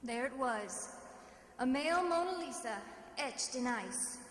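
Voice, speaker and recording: High-voiced, wisecracking lady detective, old radio. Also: animated, measured pace. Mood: wry narration. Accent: American. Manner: wry, hard-boiled, theatrical delivery. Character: wisecracking, self-assured female private detective. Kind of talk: 1940s radio detective drama, first-person narration and dialogue. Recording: old radio recording, reverberant, loud music.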